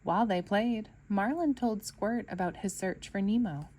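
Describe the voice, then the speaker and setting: A woman storyteller reading a children's book aloud in an expressive narrating style for kids. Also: mid-range voice, moderate pace, a little hiss or hum.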